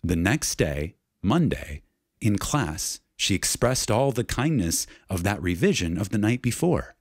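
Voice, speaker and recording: A man delivers a spiritual lecture as a solemn, dramatic reading. He takes his time, leaving deliberate pauses and leaning on key words. His husky voice moves expressively up and down, with reverence throughout. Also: lowish voice, sing-song, no room sound, clean studio sound.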